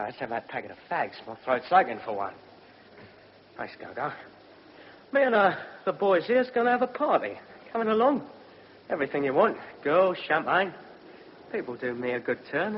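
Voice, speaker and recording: An actor playing a cocky, menacing gangster, taunting and slangy in a radio drama. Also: male voice, a little high, sing-song, normal speed. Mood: jubilant, swaggering. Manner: taunting, slangy, mock-friendly, swaggering. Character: cocky, menacing British gangster, freshly acquitted. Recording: muffled, noisy old radio recording.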